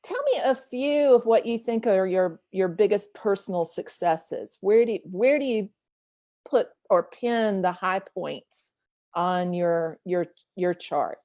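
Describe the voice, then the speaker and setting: A woman's voice: mid-range voice, takes it slowly, dark tone, no room sound. A woman interviewing an artist, attentive and affirming as she asks her questions. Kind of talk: casual interview.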